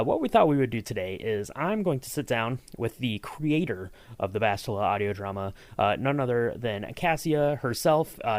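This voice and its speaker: A man's voice: mid-range voice, no echo. A man hosting a podcast and interviewing his co-host, speaking in an upbeat way with frequent fillers.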